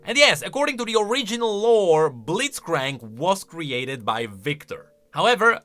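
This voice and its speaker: A man's voice: fairly high pitch, pushed and bright. A man hosting casual reaction and explanation commentary, talking in an emphatic, conversational way. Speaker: video host.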